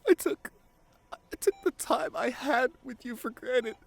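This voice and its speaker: A man acting a devoted, guilt-ridden boyfriend at a bedside in an intimate ASMR roleplay, speaking tenderly and haltingly with a lot of emotion. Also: extremely high pitch, expressive, gravelly, heavily acted. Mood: regretful, distressed. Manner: tender, halting, emotional delivery with repeated phrases.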